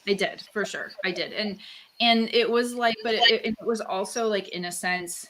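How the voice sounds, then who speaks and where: A woman's voice: mid-range voice, normal speed, pushed and bright, rough recording. A woman from Canada, a guest author, talking reflectively in a casual interview, with lots of "like" and "um" fillers. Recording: faint background noise.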